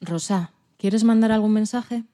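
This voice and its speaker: A woman's voice: medium pitch, unhurried, dark tone. A woman acting as podcast interviewer, asking short scripted questions.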